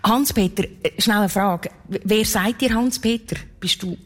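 A woman's voice: medium pitch, measured pace. A woman speaking Swiss German, hosting an interview with frequent laughs and short fillers. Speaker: host, interviewer.

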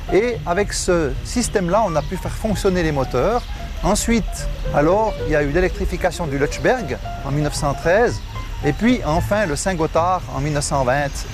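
A man narrating in a measured, factual way, explaining the history of something. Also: a little high. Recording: voice over loud music.

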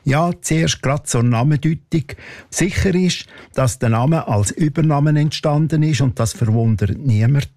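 A man's voice: a little high, a little slow, mellow, dry recording. Man, Swiss German accent, name researcher, careful scholarly tone, dry recording. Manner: precise, scholarly explaining. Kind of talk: interview answer.

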